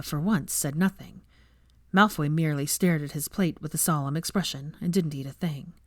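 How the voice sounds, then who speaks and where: A woman's voice: low-pitched, dry recording, clean studio sound. A woman reading fan fiction aloud as a storyteller, in scripted narration. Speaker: audiobook narrator.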